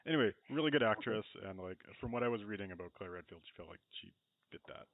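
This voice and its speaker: A man with a fairly low voice chats casually as a podcast panelist. The audio is dry, with a narrow band like a phone line. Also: expressive, fast talker, vocal fry, no room sound. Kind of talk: casual chat, film discussion.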